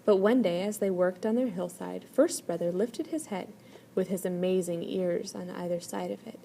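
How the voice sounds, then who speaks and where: A woman reading a children's story aloud as an expressive storyteller. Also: fairly low pitch, normal speed. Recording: clear background noise.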